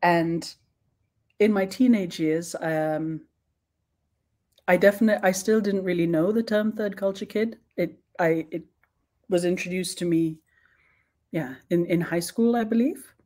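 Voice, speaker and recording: A young woman speaking as a guest expert in an online talk, thoughtful, pausing often with ums and restarting her sentences. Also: lowish voice, mellow. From born in Sweden, raised in several countries.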